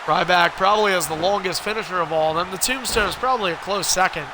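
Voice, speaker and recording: A man with a cold, sounding hoarse, hosting a game livestream and calling the action play-by-play like a wrestling announcer. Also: high voice, fast, background noise. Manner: wrestling-announcer calls, rising and punchy. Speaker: stream host and commentator.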